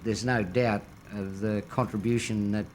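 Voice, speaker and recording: A man's voice: on the low side, steady tone, unhurried, darkish tone, slight background noise. An older man with an Australian accent, reminiscing in an interview. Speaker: interviewee, former activist. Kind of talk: reflective interview recollection.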